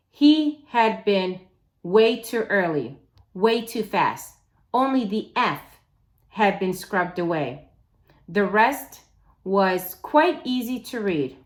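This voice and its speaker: Slow-reading woman telling a children's story. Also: medium pitch. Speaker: teacher reading aloud. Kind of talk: children's novel read-aloud.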